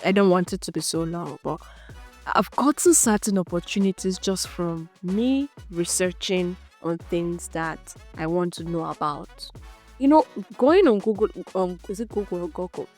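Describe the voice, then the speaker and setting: A young Nigerian woman with an Igbo accent talks through her thoughts on her own podcast, loose and conversational, breaking into laughs along the way. Her voice sits a little on the low side, and faint music runs under her. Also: expressive, moderate pace, a little mellow. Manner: chatty, thinking aloud, laughs often. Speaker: podcast host. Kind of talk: unscripted solo reflection.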